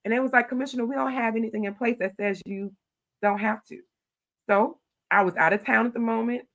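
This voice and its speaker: A middle-aged woman, a county commissioner telling stories in an animated, loud voice. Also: fairly high pitch, a little fast. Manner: animated storytelling, acting out her own quoted replies. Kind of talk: casual interview talk.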